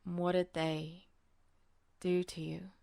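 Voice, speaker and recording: A woman voicing a dazed, weary, apologetic older man in an audiobook story, slurred and rasping, halting as he speaks. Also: on the low side, flat delivery, warm tone, dry recording, studio quality, voice pushed down. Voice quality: rasping, slurred, breathy near-whisper. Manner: halting, trailing off, insistent.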